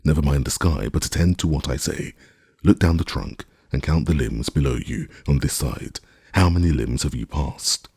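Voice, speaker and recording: A man voicing an excitable gentleman, feverish and commanding, who speaks in urgent, demanding questions. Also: very deep voice, normal speed, slightly rough, dry recording, studio quality, heavily acted.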